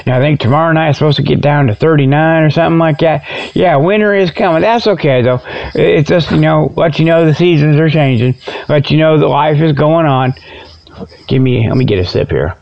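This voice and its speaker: A male radio host rambles through a comic monologue in a folksy, informal way. His voice is fairly high and gravelly, and the sound is a bit dull and lo-fi. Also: a little fast, slight background noise. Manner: folksy, informal, repeatedly tags phrases with "okay".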